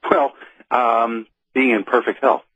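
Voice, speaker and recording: A man's voice: medium pitch, fairly even intonation, takes it slowly, a bit bright, husky, no echo. A man in his fifties speaking as a call-in radio guest, casual, wry and plain-spoken. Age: 50s. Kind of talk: casual conversational radio interview. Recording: narrow, tinny phone line.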